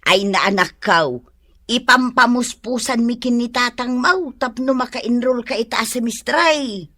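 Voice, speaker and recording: A woman's voice: fairly high pitch, unhurried, pushed and bright. A woman playing a middle-aged, chatty, exclamatory mother with an Ilocano Filipino accent in a comedy radio drama. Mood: animated. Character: middle-aged gossipy mother, exasperated and animated.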